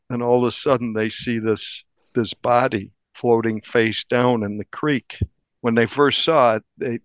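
A man's voice: mid-range voice, no echo. Muffled, dry-sounding man recounting a story. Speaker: newspaper reporter, interview guest. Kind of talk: conversational storytelling in an interview. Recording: dull, band-limited audio.

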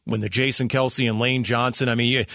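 A man's voice: medium pitch, little pitch movement, brisk, creaky, sharp tone, dry recording, clean studio sound. A man co-hosting a sports talk radio show, analysing in an emphatic way with rhetorical questions.

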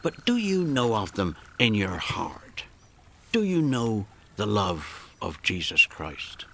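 An actor playing a kindly, earnest Puritan reverend in a radio drama, hesitant and gently preachy. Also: male voice, on the low side, huge pitch swings, slow, vocal fry, a little hiss or hum, heavily acted. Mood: earnest, welcoming. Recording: faint music behind. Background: faint music.

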